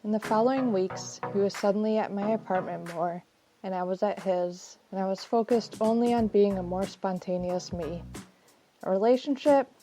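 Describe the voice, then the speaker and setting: A woman's voice: medium pitch, normal speed, over music. A woman reading her own personal essay as scripted first-person narration.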